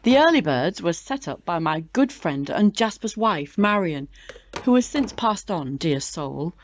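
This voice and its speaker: A woman's voice: on the low side, big pitch swings, measured pace, muffled recording, a little hiss or hum. A woman playing a chatty, proud older British club chairwoman in an audio drama, genteel and theatrical in manner. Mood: gracious.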